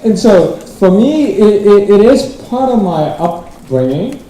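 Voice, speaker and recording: A man's voice: sits high, sing-song, takes it slowly, warm tone. A middle-aged man from Hong Kong giving a rambling, self-deprecating conference talk, restarting his sentences often. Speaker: guest speaker. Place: large lecture hall. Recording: echoey hall from far off.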